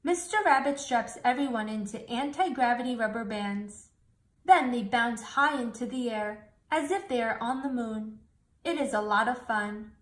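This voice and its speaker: A woman reading a children's storybook aloud, slowly, clearly and expressively. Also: fairly high pitch. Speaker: storybook reader.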